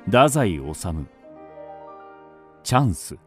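Self-assured literary narrator, wry, unhurried, widely varied intonation, music underneath, radio drama. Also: male voice, mid-range voice, very animated. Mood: reflective. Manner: deliberate, argumentative, dryly ironic. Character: wry, self-assured male writer narrating his memories.

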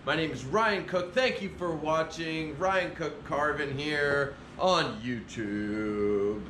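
A man's voice: fairly high pitch, slow. A young man, a chainsaw carver, chatting casually to an action camera while he works in a large wood workshop. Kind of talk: casual vlog talk. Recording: echoey workshop with loud background noise. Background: workshop ambience and machinery noise.

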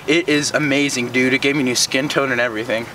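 A man's voice: medium pitch, fairly even intonation, distant mic, very noisy, natural voice. A young man playing a clueless male camper in an amateur comedy sketch, rattling off nonsense matter-of-factly outdoors. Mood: deadpan. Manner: rapid, matter-of-fact nonsense. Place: outdoors, open field.

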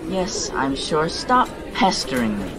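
A woman's voice: low voice, very animated, takes it slowly, crowd noise, heavily acted, rough recording. A woman playing a moody, dismissive teenage boy in an amateur radio play. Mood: irritated.

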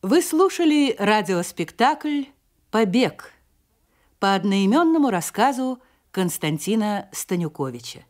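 A woman announcer formally reading scripted radio drama credits in a deliberate manner. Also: fairly high pitch, a little mellow.

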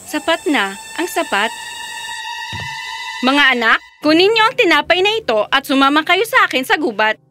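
A woman's voice: high voice, sing-song, normal speed, over music. A woman playing a scheming, cold young stepmother in a fairy tale cartoon, coaxing and commanding. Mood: cold, resentful.

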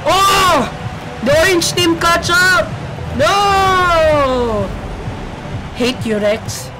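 Excitable Filipino gamer, slow speech. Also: male voice, extremely high pitch, over loud music, poor audio. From Philippines. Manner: excitable, shouts at the game, rambling asides. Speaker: let's play gamer. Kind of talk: gameplay commentary.

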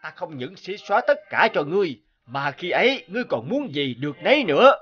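A man voicing a tyrannical middle-aged king, imperious and scolding, in an animated fairy tale. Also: a little high, fairly quick, breathy edge, dull audio, exaggerated voice. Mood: menacing.

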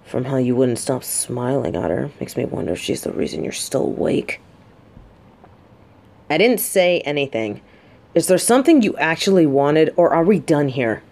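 A woman's voice: low voice, big pitch swings, normal speed, darkish tone, heavily acted. A woman playing a guarded, sarcastic but secretly vulnerable lieutenant and bodyguard in an intimate audio roleplay. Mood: jealous, irritated. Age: adult. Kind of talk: scripted roleplay audio drama, addressed to listener.

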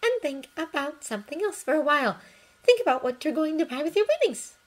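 A woman voicing a protective, motherly older woman who speaks softly and soothingly. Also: high voice, big pitch swings, fairly quick, pitched above the performer's own voice, full character voice. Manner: soft, soothing, reassuring.

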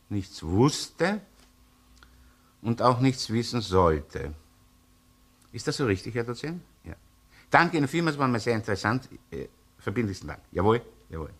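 An actor playing a gruff, shrewd senior police inspector with a Viennese accent in a crime drama, sardonic and probing. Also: male voice, mid-range voice, fairly bright tone. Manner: sardonic, interrogating, hesitant muttering between questions. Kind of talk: naturalistic crime radio or TV drama acting.

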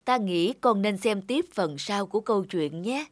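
A woman playing a wise, motherly nature spirit in a children's cartoon, speaking in a calm, measured, instructive way. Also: medium pitch, slight background noise, lightly acted. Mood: calm guidance. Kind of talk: dubbed children's cartoon dialogue. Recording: loud music behind. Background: loud music.

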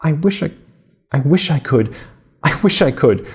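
A man's voice: a little high, expressive, moderate pace, darkish tone, pitched above the performer's own voice. A man voicing a meek, wistful young woman from a storybook fairy tale, plaintively. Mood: sorrowful.

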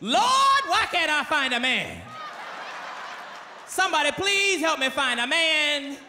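Comedian doing theatrical play promo announcer, American accent, melodramatic, bright forceful voice, echoey theater. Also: male voice, very high voice, big pitch swings, slow pace, full character voice. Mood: mock dramatic. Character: melodramatic gospel stage play advertisement announcer. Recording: echoey theater PA, audience noise.

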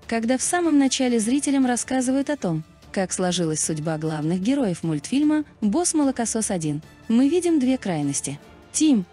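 A woman narrating a scripted video in a measured, explanatory manner. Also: on the high side, warm tone, husky.

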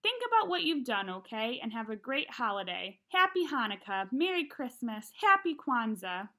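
A woman's voice: on the high side, big pitch swings, normal speed, pushed and bright, exaggerated voice. Woman reading as a stern, kindly male principal. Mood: patient, kindly.

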